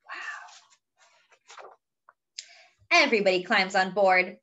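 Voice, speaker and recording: A woman's voice: mid-range voice, animated, extremely slow, pushed and bright, muffled recording. A woman reading a picture book aloud expressively, with chatty, wondering asides to young listeners. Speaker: children's story reader.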